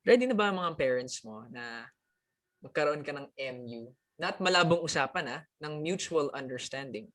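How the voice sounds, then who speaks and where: A man's voice: a little high, expressive, fairly quick, fairly bright tone. A man hosting a press launch, asking questions in a playful, teasing way while mixing English and Tagalog. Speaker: host. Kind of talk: press event interview.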